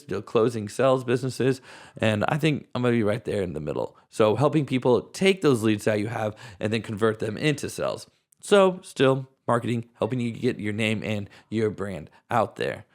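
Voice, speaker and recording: Raspy-voiced man, a bit hoarse. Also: fairly low pitch, animated, moderate pace. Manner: casual, rambling, thinks aloud with restarts. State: hoarse from a cold. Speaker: podcast host. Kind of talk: solo podcast talk. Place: at home.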